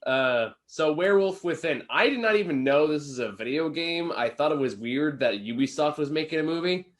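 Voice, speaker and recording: A man's voice: a little high, expressive, moderate pace. A man co-hosting a casual movie review, speaking informally with an opinionated, sardonic delivery. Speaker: film reviewer and podcast co-host.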